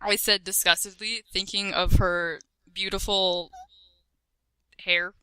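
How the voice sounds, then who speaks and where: A young woman co-reading a silly story, tossing in slow, laughing quips. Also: mid-range voice, fairly even intonation, very noisy. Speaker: co-reader. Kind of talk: casual joking chat.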